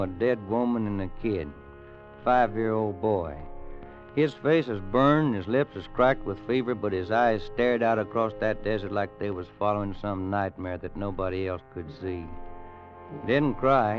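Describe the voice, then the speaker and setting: A man's voice: lowish voice, natural voice. A man playing a drawling old frontier rancher. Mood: somber. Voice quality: drawling, folksy, grammar-bending. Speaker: narrator. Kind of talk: 1940s radio drama. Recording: muffled vintage radio recording.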